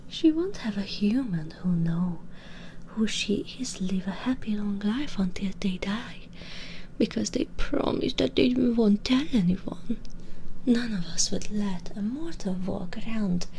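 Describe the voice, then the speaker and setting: A cruel, vengeful female vampire, played by a woman in an intimate audio roleplay. She speaks slowly in a breathy half-whisper, menacing and full of mockery, straight at the listener. The close binaural recording has faint ambient noise behind the voice. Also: medium pitch, warm tone, rough recording. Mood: cold scorn. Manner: slow, menacing, mocking, addressing the listener directly. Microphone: close binaural microphone.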